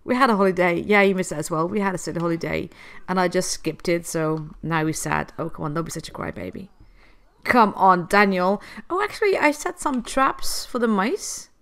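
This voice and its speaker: A woman's voice: lowish voice, normal speed, vocal fry, a bit bright. A woman with a cold, chatting through a life-sim game and talking to the characters. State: has a cold. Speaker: gameplay host. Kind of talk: casual gameplay commentary.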